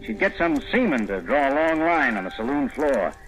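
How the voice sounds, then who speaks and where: An actor playing a wry, world-weary foreign correspondent, narrating and starring in an old-time radio drama with a breezy, hard-boiled manner. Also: male voice, mid-range voice, big pitch swings, moderate pace, music in the background, close to an everyday voice, rough recording. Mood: wry, atmospheric. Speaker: narrator and lead.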